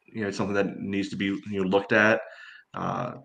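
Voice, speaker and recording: A man giving casual sports analysis as a podcast panelist, in halting phrases padded with filler. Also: on the low side, level tone, a bit bright. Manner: halting, often says "you know".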